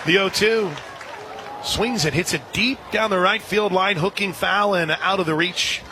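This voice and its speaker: Male radio play-by-play announcer, slightly high voice, live softball call, outdoor crowd noise behind. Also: measured pace. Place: outdoor softball stadium broadcast position.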